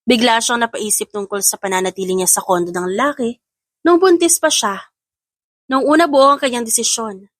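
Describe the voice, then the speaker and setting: A woman's voice: mid-range voice, fairly bright tone, no echo. A woman reading scripted dramatic narration as an audiobook narrator.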